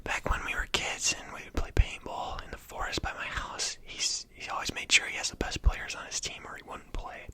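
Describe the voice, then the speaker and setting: A whispering narrator voicing a level-headed young male survivor in a soft, unvoiced whisper. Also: brisk, few pauses, natural voice. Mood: calm persuading. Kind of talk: whispered ASMR story reading.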